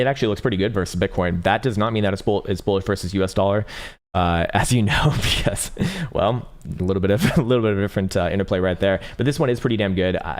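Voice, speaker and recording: Male livestream host: deep, raspy and tired, talks fast, rambles and swears. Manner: rambling, profane, with frequent fillers and restarts; reads viewer chat aloud. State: tired, short on sleep. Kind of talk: casual livestream chart analysis.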